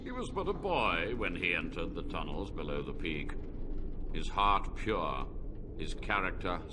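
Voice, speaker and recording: An actor playing an ancient male mage, a proud and unrepentant scholar, speaking slowly and gravely in a video game cutscene. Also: sits low. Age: older. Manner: slow, grave, measured delivery. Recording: game audio with steady ambient noise.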